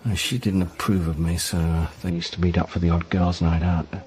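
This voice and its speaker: Low-voiced despairing prisoner played by a man. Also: level tone, fairly quick, darkish tone, slight background noise, natural voice. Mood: weary, resigned. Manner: hesitant, downbeat. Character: despairing, self-loathing husband jailed for wife's killing. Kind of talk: naturalistic radio drama acting.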